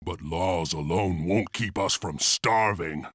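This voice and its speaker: A man playing a brooding, resolute warrior heir of an enslaved clan in a video game, speaking gravely and deliberately. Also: sits low, fairly bright tone, dry recording, studio quality, heavily acted. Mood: defiant. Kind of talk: dramatic video game cutscene acting.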